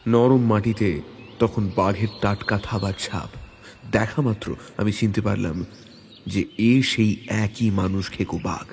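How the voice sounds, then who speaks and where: A man reading a hunting adventure as a dramatic audio story, in a measured, suspenseful way. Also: lowish voice, fairly even intonation, a little mellow, a little hiss or hum. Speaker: story narrator. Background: background music.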